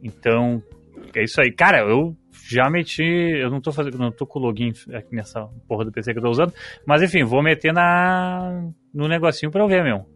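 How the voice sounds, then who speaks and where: A man's voice: on the high side, fairly quick, fairly bright tone, soft background music. Joking, swearing man. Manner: loose, joking, swears freely. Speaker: podcast co-host. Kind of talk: casual chat.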